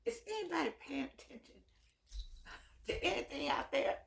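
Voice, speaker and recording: An American woman, middle-aged or older, giving unscripted opinion talk to her viewers in an earnest, exhorting manner, often repeating words haltingly. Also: high-pitched, expressive, creaky, a bit bright. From United States. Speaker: vlogger addressing viewers.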